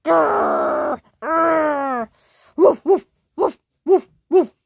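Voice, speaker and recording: A woman voicing a fierce dog in a storybook read-along, with loud growls and repeated woofs. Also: high-pitched, very slow, vocal fry, no room sound, studio quality, heavily acted.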